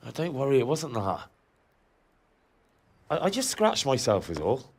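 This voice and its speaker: An actor playing a wry, easygoing young blacksmith's son in a game cutscene, with a slightly husky voice. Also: male voice, medium pitch, huge pitch swings, unhurried, exaggerated voice. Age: young adult. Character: cheeky young village lad, easygoing. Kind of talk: video game cutscene acting.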